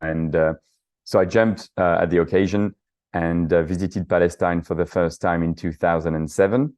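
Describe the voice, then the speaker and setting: Deep-voiced Frenchman with a French accent. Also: fairly even intonation, normal speed, darkish tone. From south of France. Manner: hesitant, many fillers and restarts. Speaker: guest, author and activist. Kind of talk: conversational interview answers.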